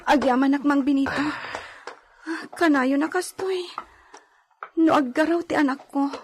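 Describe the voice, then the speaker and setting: A woman playing an anxious, heavily pregnant young lady in an Ilocano radio drama, her voice husky and breathy. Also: high voice, measured pace, slight background noise. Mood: in pain.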